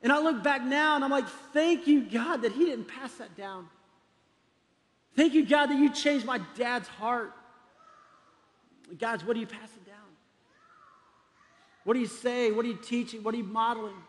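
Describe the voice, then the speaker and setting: A man's voice: very high voice, normal speed, pauses often. A middle-aged man from Texas preaching a sermon as a pastor, emphatic and exhortative, repeating phrases for weight. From Texas, United States. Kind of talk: sermon to a congregation. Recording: long echoing hall reverb.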